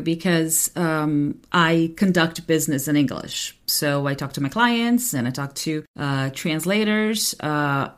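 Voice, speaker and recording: Brazilian woman with a low voice, laughing. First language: Portuguese. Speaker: podcast host, translator. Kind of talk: casual conversation.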